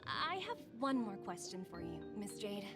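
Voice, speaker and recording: Woman acting a crisp young negotiator over loud music. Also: medium pitch, big pitch swings, normal speed, lightly acted. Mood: politely curious. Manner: crisp, polite, formal. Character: businesslike young woman corporate negotiator, composed and deferential. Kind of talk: video game voice acting.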